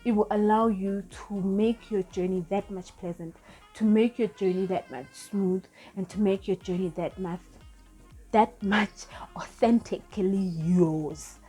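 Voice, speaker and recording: A woman's voice: medium pitch, steady tone, unhurried, warm tone, faint music behind. A woman in her thirties, not a native English speaker, giving earnest, rambling spiritual advice in a casual vlog, often correcting herself as she goes. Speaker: spiritual vlogger.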